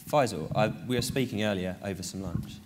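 Fast-talking deep-voiced moderator. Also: male voice, a little hiss or hum. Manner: quick, friendly, joking asides. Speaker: panel moderator. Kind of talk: moderating a panel conversation.

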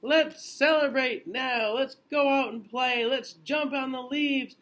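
A man voicing a big, friendly, affectionate male bear from a children's storybook, with emphatic, drawn-out phrase endings. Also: extremely high pitch, fairly even intonation, a bit bright, pitched above the performer's own voice, full character voice. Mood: joyful.

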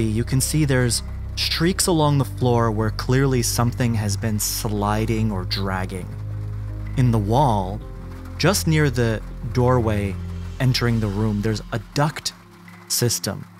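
A man narrating a horror tabletop game as game master, with dramatic, descriptive and deliberate phrasing. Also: medium pitch. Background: background music.

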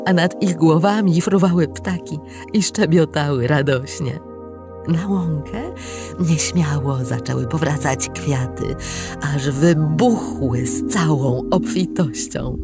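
A woman narrating a scripted tale dramatically, deliberate and expressive, with pauses and hushed phrase endings. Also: low-pitched. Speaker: storybook narrator.

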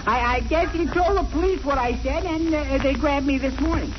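An actor playing a meek, gullible, bumbling young husband in a 1940s radio comedy, hesitant and stammering with nervous chuckles. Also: male voice, very high voice, fairly quick. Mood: sheepish. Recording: muffled, noisy 1940s radio recording.

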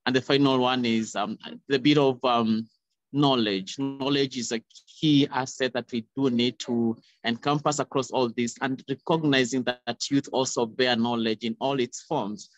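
Young male panelist, mid-pitched, muffled dry video call sound. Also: steady tone, moderate pace, dry recording. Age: young adult. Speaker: youth panelist. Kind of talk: panel discussion answer. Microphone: phone at arm's length on video call. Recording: dull, lo-fi video call audio.

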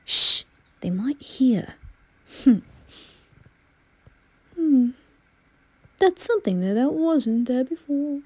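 A woman voicing a composed, secretive male butler with a breathy voice, formal and wary, in a soft anime roleplay. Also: high-pitched, animated, slow, pauses often, dry recording, heavily acted. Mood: wary, hushed.

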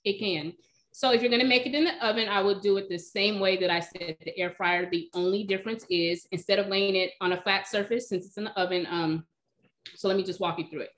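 A woman's voice: medium pitch, brisk. Chatty woman cook on a video call. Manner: relaxed, chatty, explains by eye. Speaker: cooking demonstrator, recipe blogger. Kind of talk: casual live Q&A. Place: home kitchen. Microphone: video call, webcam.

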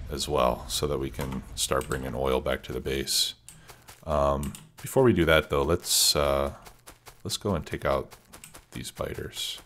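A man's voice: very deep voice, fairly bright tone, a little hiss or hum. A man with a stuffed-up cold, casually commenting on his gameplay as a let's play host, thinking aloud with many fillers. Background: background music and keyboard typing.

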